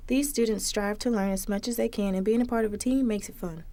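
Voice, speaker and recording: A woman's voice: medium pitch, fairly even intonation, fast, dark tone. A woman student reporter reading scripted news narration steadily.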